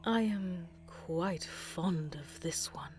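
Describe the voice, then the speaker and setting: A cruel alien tyrant queen voiced by a woman for an audio drama. She speaks slowly and indulgently, in a lowish voice that often drops to a menacing whisper. Faint music plays beneath her. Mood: wistful, sinister. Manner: slow, menacing, breathy whispered delivery.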